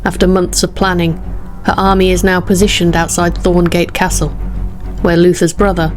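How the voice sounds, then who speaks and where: A woman narrating a story in an even, steady way. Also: lowish voice, normal speed, over music.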